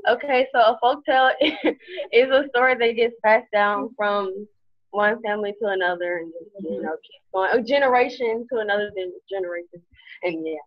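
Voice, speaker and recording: A teenage girl speaks as a student in class. Her delivery is casual, halting and tentative, and the sound is slightly muffled, as on an online call. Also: mid-range voice. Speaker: student answering questions.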